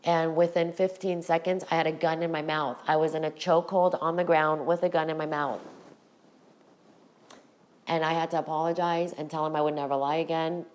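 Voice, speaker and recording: A middle-aged woman with a raspy voice, hosting her own podcast and talking frankly to her viewers about her past. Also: low voice, level tone, brisk, darkish tone. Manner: candid, direct address to viewers. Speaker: podcast host. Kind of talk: personal storytelling to camera.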